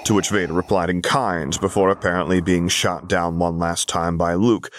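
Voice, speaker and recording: A man with a low-pitched voice narrates a story in audiobook style. The recording carries a noticeable layer of background noise. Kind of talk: scripted fiction narration. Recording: audible background hiss, clicks.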